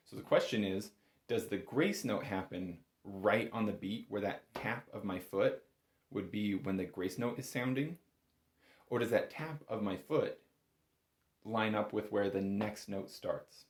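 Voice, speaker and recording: A man giving an informal music lesson as an instructor, often correcting himself and stressing key words. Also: fairly low pitch. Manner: hedging, self-correcting, emphatic on key words. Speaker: bagpipe instructor. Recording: roomy, echoing room sound.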